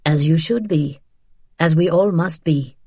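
A woman's voice: deep voice, a little slow, darkish tone, breathy edge, dry recording, clean studio sound, full character voice. A woman voicing a tough, shrewd old French farmhouse woman with a terse, knowing manner, in an audiobook.

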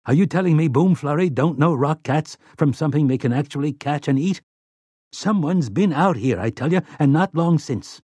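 Man doing a gruff, aggrieved country man, raised pitch. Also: animated, normal speed, mellow, no echo. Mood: gruff, irritated. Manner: snarling, aggrieved, folksy. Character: rough country man, guilt-ridden traitor, snarling and whiny.

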